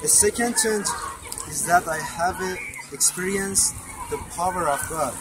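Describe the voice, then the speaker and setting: A man with a Persian accent, a native Farsi speaker, slowly giving a faith testimony with many pauses and self-corrections. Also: sits high. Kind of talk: slow read-aloud testimony. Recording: noisy recording.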